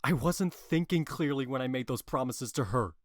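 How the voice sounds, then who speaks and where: A man's voice: medium pitch, normal speed, no room sound, lightly acted. A man playing a bewitched young lover in a modern-English Shakespeare audio drama, earnest and blunt in his declarations. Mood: defensive.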